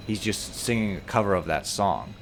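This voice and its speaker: A man, a little hoarse, casually commentating a video game and explaining puzzles step by step with jokes. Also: on the low side, expressive, normal speed, fairly bright tone, faint music behind. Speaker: let's play commentator.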